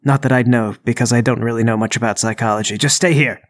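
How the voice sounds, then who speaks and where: A man voicing a nervous burglar in a tabletop game, halting and stammering as he bluffs and over-explains. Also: medium pitch, steady tone, lightly acted. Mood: smug, menacing.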